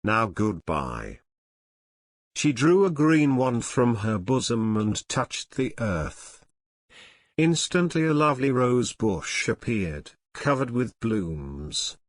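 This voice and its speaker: Measured male storyteller with a dry, close sound. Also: medium pitch, sing-song, dry recording. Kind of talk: scripted storybook narration for English learners.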